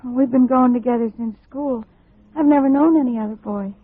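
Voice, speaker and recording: A woman's voice: on the high side, a little fast, no echo, natural voice. An actress playing an anxious, earnest woman of about twenty in a 1950s radio drama, timid and hesitant. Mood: pleading. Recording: tinny, dry old radio recording.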